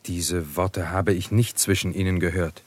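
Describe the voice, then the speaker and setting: A man's voice: deep voice, steady tone, a little slow, dry recording. A male actor playing a proud, fiery young warrior in a German radio drama, speaking in an earnest, formal, terse way. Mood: earnest, pained.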